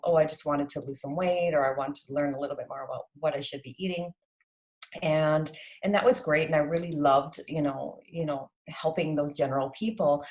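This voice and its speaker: A woman in her late forties from Canada, a health coach talking as a podcast guest in a chatty way with many fillers. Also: sits low. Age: late 40s.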